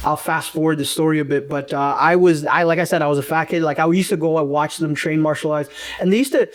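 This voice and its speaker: A man's voice: on the high side, steady tone, fast talker. A young man in a casual interview, talking in long, rambling runs full of fillers. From Uganda. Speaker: guest fighter and coach.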